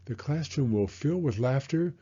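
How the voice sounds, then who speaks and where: A man reading a children's picture book aloud as a story time host, with expressive, unhurried narration. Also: medium pitch, husky, dull audio.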